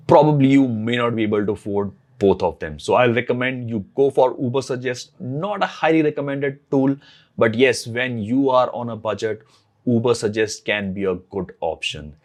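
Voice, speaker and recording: A man whose first language is not English, talking casually to camera as host of a blogging channel and repeating phrases as he thinks aloud. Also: medium pitch. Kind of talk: casual tutorial talk to camera.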